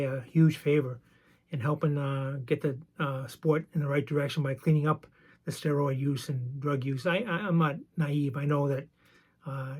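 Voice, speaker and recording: Gravelly-voiced man, slightly high pitch. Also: darkish tone. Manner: easygoing, chatty, wanders off on side stories. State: voice roughened by medication. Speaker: vintage card collector and channel host. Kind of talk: casual show-and-tell about baseball cards.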